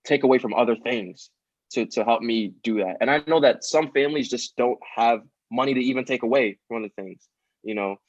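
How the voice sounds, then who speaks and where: A man's voice: mid-range voice, no echo. Earnest twenty-year-old man, dry lo-fi sound. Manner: earnest, heartfelt, frequent "you know" and "um". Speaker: podcast guest, student nonprofit founder. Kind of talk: casual conversational interview.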